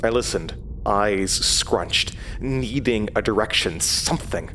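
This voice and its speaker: Horror audio drama lead: haunted first-person narrator, lowish voice, measured and hesitant. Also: male voice, pushed and bright, a little hiss or hum. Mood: haunted, tense. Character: haunted man recounting his horrifying past.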